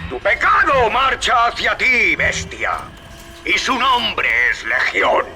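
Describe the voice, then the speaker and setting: A vengeful game villain, a fanatical preacher. His slow, threatening sermon rises and plunges dramatically in pitch over loud music. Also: wildly swooping pitch, full character voice. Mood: wrathful. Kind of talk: video game dub acting.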